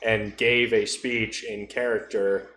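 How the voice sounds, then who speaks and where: A man's voice: fairly low pitch, little pitch movement, slow pace, fairly bright tone. A man in casual conversation about tabletop role-playing, speaking as a gamer on a podcast. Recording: roomy echo, faint background noise.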